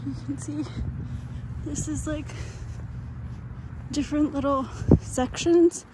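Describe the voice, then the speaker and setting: High-pitched, halting woman vlogging outdoors in noise. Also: steady tone. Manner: halting, unhurried, trailing off. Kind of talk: casual walking-tour chat. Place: outdoors walking along roadside and hilly park. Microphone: handheld phone at arm's length. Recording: loud outdoor street and wind noise.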